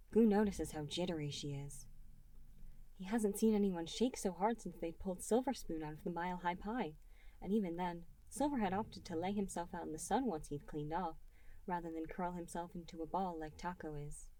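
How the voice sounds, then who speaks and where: A woman reading a fan fiction story aloud as an audiobook narrator, in an expressive storytelling manner. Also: lowish voice, normal speed, rough recording. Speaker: reader and writer of the story.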